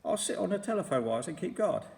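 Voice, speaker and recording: A man's voice: high voice, big pitch swings, quick pace, creaky, darkish tone, big-room sound, voice pushed up, full character voice. A man doing a fussy little bird. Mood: prim complaint. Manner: prim and fussy.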